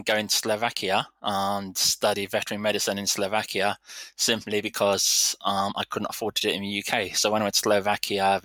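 Bright-voiced man in his thirties. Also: fairly low pitch, dry recording. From London, England. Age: 30s. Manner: easygoing, rambling storyteller. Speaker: vet surgeon guest. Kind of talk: casual interview chat.

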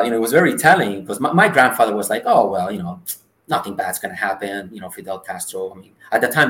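A man's voice: fairly low pitch, a bit bright. A man in his forties, born in Cuba, telling earnest stories as an interview guest. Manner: earnest, anecdotal storyteller. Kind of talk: casual interview storytelling. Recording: roomy echo.

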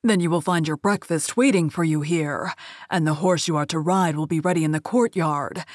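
A woman reading aloud as a furious male beast in a fairy tale, stern and grim. The voice is pitched on the low side and stays commanding. The sound is close and dry. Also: moderate pace, no room sound.

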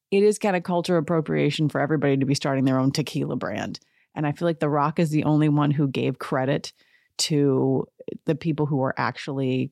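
A woman hosting a podcast in a casual, chatty way, sarcastic and quick to laugh. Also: deep voice, mellow, dry recording, studio quality. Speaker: podcast host. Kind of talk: casual chat.

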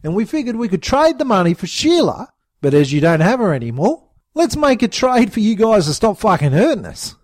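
A man's voice: high voice, very animated, fairly quick, a little mellow, no echo, clean studio sound, pitched above the performer's own voice. A man doing a cheeky beaten-up Australian bogan.

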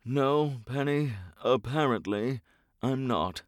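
An actor playing a vain, pompous millionaire superhero in a comic audio drama. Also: male voice, medium pitch, animated, no echo, studio quality. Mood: sheepish, halting. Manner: pompous, deliberate. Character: vain, self-admiring millionaire superhero man.

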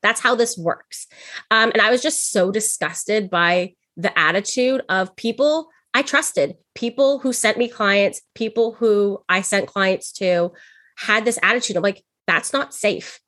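A woman's voice: medium pitch, unhurried, a bit bright, no echo. A young woman with a mid-range voice talks as an interview guest in a very dry recording. She is outspoken and blunt, chatting casually and candidly with some swearing. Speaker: podcast guest, business owner.

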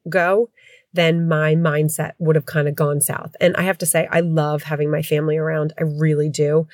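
A woman's voice: low voice, a little mellow, dry recording, studio quality. A middle-aged woman with a stuffy head cold, chatting candidly as a podcast host from home. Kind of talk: casual podcast chat.

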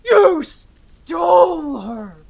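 A woman performs a grieving father in an audio drama, his voice rough and full of gravel. He drags out his words slowly, swinging between broken mumbles and despairing bellows at the dark. The sound is close and dry. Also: very high-pitched, sing-song, no echo, pitched above the performer's own voice, exaggerated voice. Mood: anguished screaming. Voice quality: hoarse, gravelly. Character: anguished grieving father, raging at monsters in the dark. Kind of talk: dramatic audio fiction acting.

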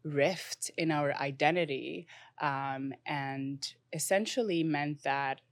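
A young woman from Morocco, speaking thoughtfully as an interview guest with frequent pauses and fillers. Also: sits low, no room sound. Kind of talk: conversational interview.

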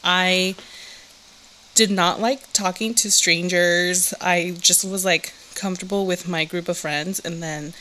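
A woman's voice: fairly low pitch, fairly even intonation, takes it slowly, pushed and bright, a little hiss or hum, rough recording. A young woman from Southern California, co-hosting a podcast and chatting casually, laughing often and saying "like" a lot. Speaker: podcast co-host. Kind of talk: casual chat.

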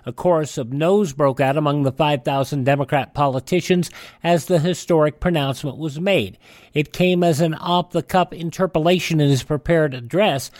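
A man's voice: on the high side, darkish tone, no echo, clean studio sound. An American man hosting a radio show, presenting and reading news items. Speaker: radio host.

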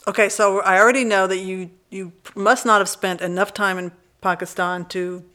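Bright-voiced woman, informal interviewer. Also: mid-range voice, little pitch movement, normal speed. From born in Belgium, moved away at six. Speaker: interview host. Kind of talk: casual interview chat.

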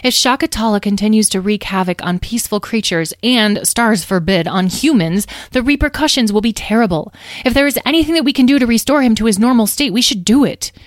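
A woman voicing a calm, grown female dragon guardian in a storybook, measured and reasoned. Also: on the high side, dry recording, studio quality, pitched above the performer's own voice, natural voice. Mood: calm, persuasive.

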